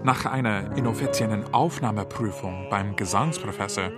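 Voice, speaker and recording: An opera singer delivering a scripted, introspective radio play monologue in a measured way. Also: medium pitch. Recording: radio production with loud music. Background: loud music.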